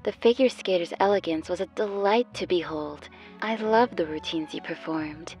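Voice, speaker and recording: A woman's voice: lowish voice, normal speed, darkish tone, soft background music, natural voice. Woman as a serene, refined space princess. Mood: composed, polite. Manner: polite, composed, refined diction. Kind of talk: fan cartoon dialogue.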